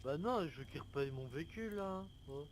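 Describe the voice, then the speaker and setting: A man's voice: a little high, animated, a little fast, lightly acted. A man playing a stubborn, indignant motorist in an online game roleplay, talking colloquially and insistently. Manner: colloquial, insistent, ends phrases with tags. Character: indignant, stubborn male motorist demanding compensation. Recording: noisy game voice chat.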